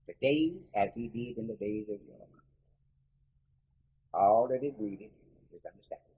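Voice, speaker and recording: A man playing a solemn, self-assured astrologer prophet in an audio story, husky and measured, speaking like an orator with authority. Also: medium pitch, exaggerated voice. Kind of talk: oratorical declaration. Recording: muffled, long echoing reverb.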